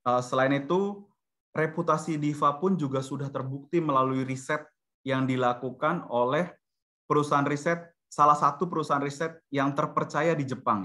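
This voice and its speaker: A man's voice: medium pitch, sharp tone. A man with an Indonesian accent giving a semi-formal corporate product presentation in an explanatory manner, mixing in English business terms. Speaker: webinar presenter for a software company. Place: online video-conference webinar.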